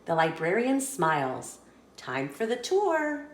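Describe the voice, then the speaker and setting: A woman reading a children's picture book aloud as a story host, in an expressive, deliberate storytelling manner. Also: medium pitch, roomy sound.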